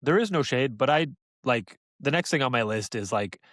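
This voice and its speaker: A man's voice: mid-range voice, animated, moderate pace, a bit bright, no echo. Man chatting on a dry-sounding podcast. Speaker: podcast co-host. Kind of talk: casual review discussion.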